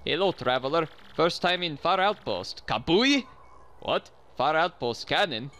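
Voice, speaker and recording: A man playing a nervous, evasive male bomb-creature villager from a game, with a Russian accent and clipped phrasing. His voice is bright and lively. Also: fairly high pitch, a little slow, a little hiss or hum, full character voice.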